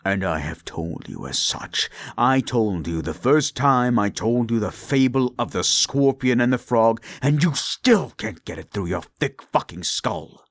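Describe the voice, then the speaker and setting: A man voicing a cruel, unrepentant male demon in an audio roleplay, talking slowly with contempt and dropping into menacing whispers. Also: expressive, dry recording. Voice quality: gravelly, low, menacing.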